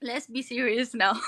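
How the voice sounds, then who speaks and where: A woman's voice: fairly high pitch, fairly bright tone. A woman from Ethiopia, speaking English as an additional language, chatting casually as a caller on a live stream over a video call. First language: not English, which is at best her fourth language. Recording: noisy online call with clicks.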